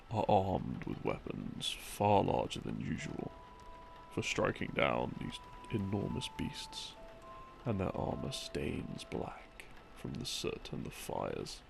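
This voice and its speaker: A man with a very gravelly, creaky voice talks very slowly over clearly audible music. He rambles through a story meant to help someone sleep, halting and musing between phrases. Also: low-pitched. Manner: halting, musing delivery with pauses. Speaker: audio roleplay creator playing a boyfriend. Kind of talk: rambling storytelling, sleep-aid lore explanation. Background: background music.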